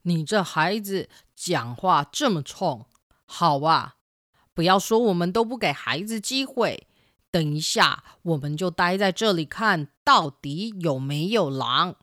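A woman's voice: lowish voice, expressive, a little slow, a bit bright, dry recording, studio quality, pitched below the performer's own voice. A woman voicing a stern, distrustful villager in a children's audio story, scolding and dismissive.

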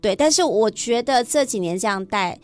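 A woman's voice: fairly high pitch, lively intonation, normal speed. A woman with a Taiwanese Mandarin accent talks as a teacher being interviewed, with frequent affirmations and the odd English term. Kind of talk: conversational interview explanation.

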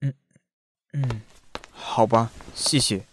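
A man's voice: medium pitch, steady tone, slow pace, noisy background, natural voice. An actor playing a reserved, teasing young male design student in an audio drama, with a Taiwanese accent. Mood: tired, withdrawn. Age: young adult. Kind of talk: audio drama acting with narration.

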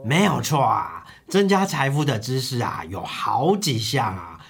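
Wise, wealthy Babylonian mentor for radio play, acted by a man: calm, instructive, drawn-out endings. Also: medium pitch, creaky, faint music behind, voice pushed down.

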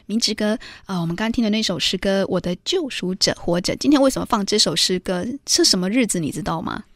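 A woman's voice: mid-range voice, quick pace, dry recording, clean studio sound. A woman hosting a radio talk, explaining in a chatty way and checking in with questions. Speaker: radio host.

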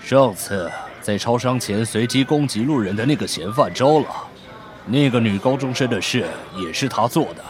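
A man's voice: medium pitch, lively intonation, normal speed, faint music behind, close to an everyday voice. A man playing a businesslike senior police detective in an audio drama, giving a plain, matter-of-fact briefing.

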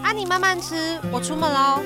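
A woman playing a loving, gently fussing young wife in an audio drama. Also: very high voice, normal speed. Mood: fond, fussing. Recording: music under the voice.

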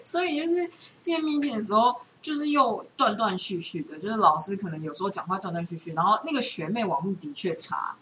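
A woman with a Taiwanese Mandarin accent talks very quickly in casual, teasing banter, as a podcast co-host would. Her pitch is average and her delivery is animated. A little ambient noise sits behind her. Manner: animated, teasing, acts out quotes.

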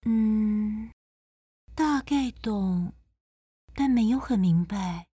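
Woman acting slow, husky, deferential lady companion. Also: medium pitch, expressive, dark tone, no room sound, studio quality, heavily acted. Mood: hesitant. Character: polite, hesitant female household companion. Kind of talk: audio drama acting.

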